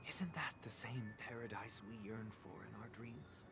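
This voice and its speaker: A man playing a composed, courteous young leader in a video game, speaking in a measured, philosophical way. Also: mid-range voice, creaky, music in the background, natural voice. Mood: grave, reflective. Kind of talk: dramatic video game acting.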